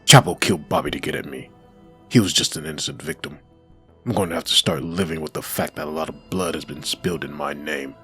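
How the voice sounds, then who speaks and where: A man's voice: a bass voice, lively intonation, a little fast, creaky, bright, forceful tone, soft background music, heavily acted. A man playing a grim, guilt-ridden undead antihero in a comic dub. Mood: weary guilt. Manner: grim, brooding.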